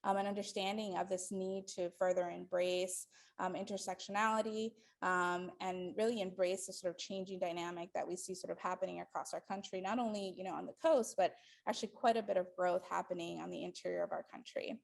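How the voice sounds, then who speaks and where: A woman's voice: fairly low pitch, fairly even intonation, brisk, vocal fry. A woman in her forties, from the Dominican Republic, giving a lecture to a live audience in a hall, thinking aloud with many ums and restarts. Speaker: guest lecturer.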